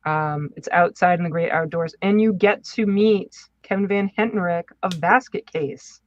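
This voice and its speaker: A woman's voice: fairly low pitch, normal speed, highs cut off. A woman co-hosting a podcast in casual, chatty and informal style, speaking with enthusiasm. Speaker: podcast co-host.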